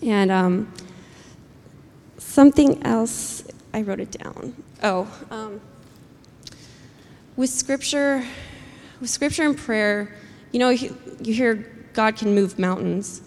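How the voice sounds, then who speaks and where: Gravelly-voiced woman, hesitant, echoing hall. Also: a little high, slow, darkish tone. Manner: hesitant, searching for words, earnest. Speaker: church member sharing a reflection. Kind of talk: informal church reflection. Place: church hall. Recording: long hall reverb.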